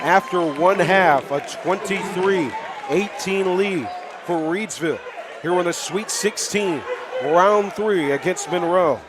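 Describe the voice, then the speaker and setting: A man calling live high school basketball as a play-by-play announcer, upbeat and chatty, projecting his voice from the gym. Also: slow, crowd noise, noisy background. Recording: live courtside gym broadcast.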